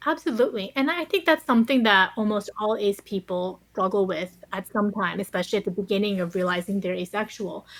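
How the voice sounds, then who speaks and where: A woman gives considered answers in a conversational podcast interview. Her voice sits at a middling pitch, and she qualifies her points with care. The sound is dry and free of room echo. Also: a little fast, few pauses, a bit bright. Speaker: podcast guest, author.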